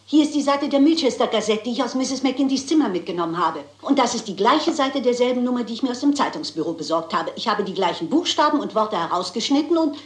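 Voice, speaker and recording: An actress playing a shrewd, brisk elderly lady detective in a German crime radio play, polite and assured. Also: fairly high pitch, lively intonation, echoey room, close to an everyday voice. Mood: brisk, determined.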